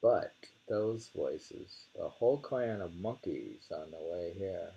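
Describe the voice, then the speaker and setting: A man with a dark, mellow and gravelly voice reads poetry aloud at an informal pace. He is deliberate with the verse and slow to move on, pausing to muse and wonder about what it means. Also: sits low, animated, highs cut off. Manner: deliberate delivery when reading, musing and tentative in asides. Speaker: reader of poetry. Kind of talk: reading translated poems aloud with informal commentary.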